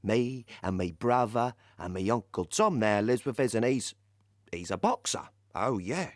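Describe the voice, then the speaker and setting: A man playing a cocky, carefree East London lad who volunteers as a soldier, telling anecdotes excitably in an audio play. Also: mid-range voice, unhurried, no echo, clean studio sound, natural voice. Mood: amused. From Stratford, East London. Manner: colloquial, excitable storytelling.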